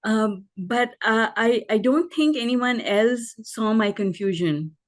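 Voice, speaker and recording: A middle-aged woman from Pakistan, a guest on a podcast, telling her life story in relaxed conversation and laughing often. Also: on the high side, slow. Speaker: guest, life coach and author.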